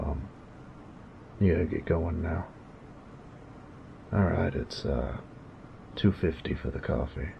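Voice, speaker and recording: A man voicing a cocky, teasing young bully who is secretly smitten, in a husky, slangy intimate audio roleplay. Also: highs cut off, slight background noise, heavily acted. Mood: flustered, fond. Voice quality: very deep, gravelly, husky. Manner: swearing, teasing, smug slangy drawling delivery.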